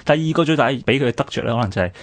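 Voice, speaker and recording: A man's voice: medium pitch, expressive, racing. A man with a Hong Kong Cantonese accent, co-hosting a conversational history programme and explaining things analytically, point by point. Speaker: radio co-host.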